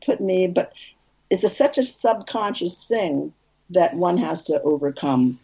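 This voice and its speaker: A woman film director, an interview guest, telling animated stories in casual conversation with frequent restarts. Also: measured pace, low-quality recording. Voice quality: husky, low.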